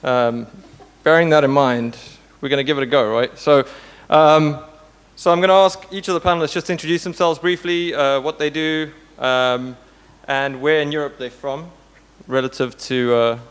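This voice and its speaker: A man's voice: fairly high pitch, fairly even intonation, moderate pace, clean tone. A man moderating a conference panel in a casual, joking, informal way. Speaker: panel moderator, tech company CTO. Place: indoor conference hall. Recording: hall reverb from conference room sound system.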